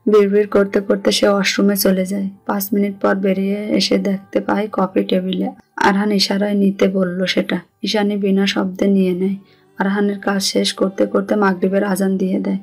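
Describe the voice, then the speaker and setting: A woman's voice: medium pitch, monotone, normal speed, darkish tone, slightly rough. A woman with a Bangladeshi accent reading scripted narration of a romantic story. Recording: music under the voice.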